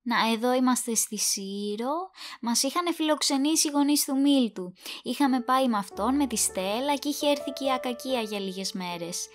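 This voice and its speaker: A woman acting an anxious, wistful young woman in a Greek audio drama, expressive and conversational. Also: high voice, faint music behind, lightly acted. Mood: soft, reminiscing.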